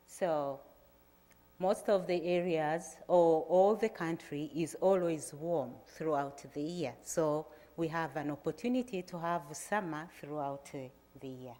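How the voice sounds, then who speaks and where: A middle-aged Tanzanian woman with a Tanzanian accent, giving a measured, plain presentation to an audience, with frequent pauses. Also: on the low side, mellow. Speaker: agricultural researcher giving a talk. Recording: reverberant lecture hall.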